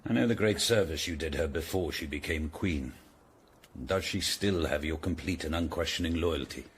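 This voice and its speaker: Very deep, stern older English Tudor lord. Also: male voice, normal speed, husky, heavily acted. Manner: commanding, formal, portentous. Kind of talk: radio drama acting.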